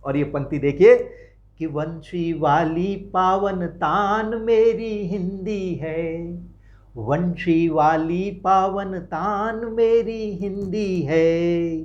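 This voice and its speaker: Man reciting poetry, very slow drawn-out delivery, high voice, dull lo-fi recording. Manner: chants refrains slowly, drops to quick quiet asides between verses. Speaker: poet reciting his own song. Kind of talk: slow sung poetry recitation with spoken asides.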